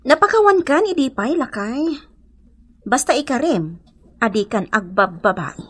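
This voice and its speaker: A woman's voice: fairly high pitch, big pitch swings, measured pace, fairly bright tone, slightly rough, heavily acted. A woman with an Ilocano accent playing a stern, offended village schoolteacher, firm and insistent, in a radio comedy drama.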